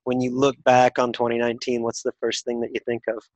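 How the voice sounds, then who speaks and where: A man's voice: medium pitch, monotone, quick pace, dry recording. A man hosting a drag racing podcast, talking casually with many fillers while getting over a cold that has nearly taken his voice. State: recovering from a cold, near losing voice. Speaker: podcast host. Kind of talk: podcast interview.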